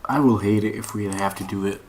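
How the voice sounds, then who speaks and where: A man's voice: on the low side, fairly even intonation, brisk, a little mellow. A man giving a casual, unscripted screen-share tutorial, rambling and thinking aloud as he searches. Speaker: online course instructor. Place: at a computer. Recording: noisy room with keyboard and mouse clicks. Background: typing and mouse clicks.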